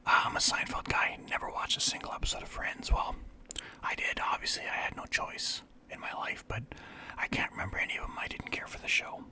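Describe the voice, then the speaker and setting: A young man from Canada whispering through a casual, rambling list of favorites as a vlogger. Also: a little fast. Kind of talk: whispered ASMR list chat.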